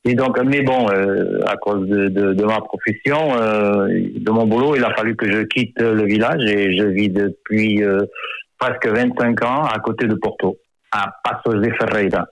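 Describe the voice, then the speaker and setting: A man's voice: fairly low pitch, fairly even intonation, unhurried, no echo, rough recording. Hesitant middle-aged man on radio. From France. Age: 50s. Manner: hesitant, many fillers and repeated words. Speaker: author. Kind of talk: radio interview.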